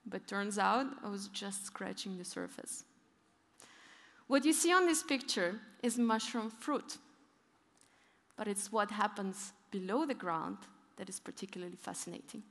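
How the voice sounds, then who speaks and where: A woman's voice: mid-range voice, a little slow. A young woman giving a conference keynote on stage, telling stories and leaning hard on her key points. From northern Europe, Arctic region. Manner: storytelling, lively emphasis on key points. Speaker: keynote speaker. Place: large conference hall on stage. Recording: long hall reverb.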